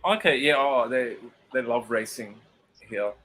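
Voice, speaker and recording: Australian male stream host, mid-pitched, clear diction, noisy home setup. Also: measured pace, a bit bright. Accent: Sydney Australian, crisp enunciated. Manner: chatty, self-correcting, enunciates clearly. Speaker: livestream host. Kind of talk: casual live chat.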